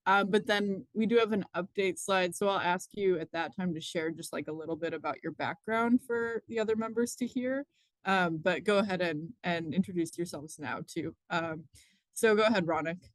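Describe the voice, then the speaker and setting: A program manager opens a public meeting over a video call, calling on members in a brisk, orderly way. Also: medium pitch, no room sound, poor audio.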